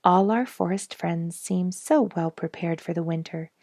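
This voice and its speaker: A woman voicing a wise, contented, gently teasing female companion in a storybook. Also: fairly low pitch, measured pace, gravelly, dry recording, studio quality.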